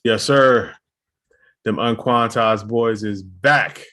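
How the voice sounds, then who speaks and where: A man with a fairly low voice trades easygoing, slang-filled banter as a podcast co-host. His talk is loose and relaxed, full of quick asides and friendly jokes. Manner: laid-back slangy banter. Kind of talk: casual chat.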